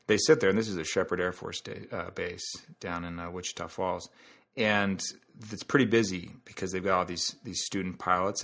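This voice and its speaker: A man's voice: low-pitched, creaky, fairly bright tone. A man hosting a podcast in a casual, conversational monologue, explaining things through analogies and asides. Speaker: podcast host.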